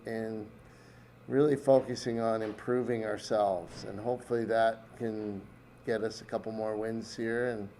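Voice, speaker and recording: A man, a coach, giving a plain-spoken, halting interview answer in informal language. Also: mid-range voice, darkish tone, a little hiss or hum. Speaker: head coach.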